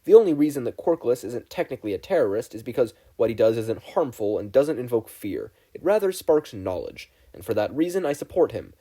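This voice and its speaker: Man playing an earnest, dramatic teenage boy, lively intonation. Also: medium pitch, brisk, few pauses, slightly rough.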